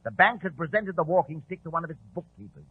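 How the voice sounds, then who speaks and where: An officious police inspector, emphatic and blustering. His delivery swings widely in a husky, highish voice, on a tinny old 1940s radio drama recording. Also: lively intonation, fast talker.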